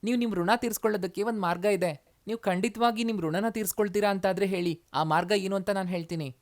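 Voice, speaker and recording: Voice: medium pitch, steady tone, fast talker, close to an everyday voice. A narrator voicing an earnest, polite young male demon in human form for a story. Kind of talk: animated moral story dialogue.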